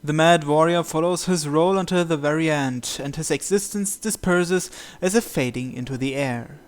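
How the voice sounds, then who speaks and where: Guy reading visual novel narration out loud, smooth clean voice, kinda high for a man, deliberate. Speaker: video game player reading the script aloud.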